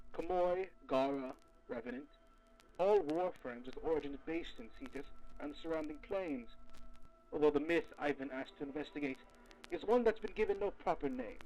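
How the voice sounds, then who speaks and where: A gravelly, fairly high-voiced investigator played by a man dictates field logs for an audio drama. He is wry and jaded, speaking in formal, articulate phrases with lively swings in pitch. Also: poor audio. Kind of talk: scripted audio drama log narration.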